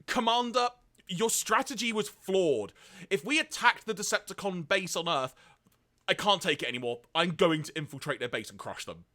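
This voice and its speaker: A man's voice: high voice, animated, moderate pace, pushed and bright, pitched above the performer's own voice. A man voicing a hot-headed male robot warrior, impassioned and frustrated. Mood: angry, frustrated. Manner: impassioned, indignant.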